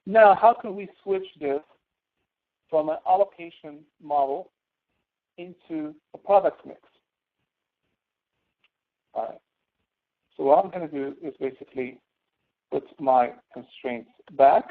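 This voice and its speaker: Male tutorial instructor, slightly high-pitched, informal and halting, heard through narrow phone-like audio. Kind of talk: spreadsheet tutorial, live online lesson.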